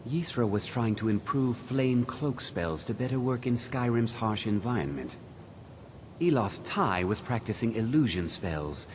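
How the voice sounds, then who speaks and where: Fantasy game wizard, older man, measured and faintly disdainful, low and expressive, slight rumble behind. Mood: recollecting, disapproving. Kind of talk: video game dialogue.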